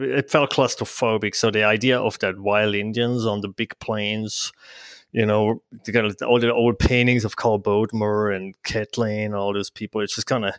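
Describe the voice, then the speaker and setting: A man's voice: on the low side, smooth, dry recording, clean studio sound. A man in his fifties from Switzerland with a Swiss accent, a guest travel photographer chatting casually and self-deprecatingly. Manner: self-deprecating, chuckling, with restarts. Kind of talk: casual interview chat.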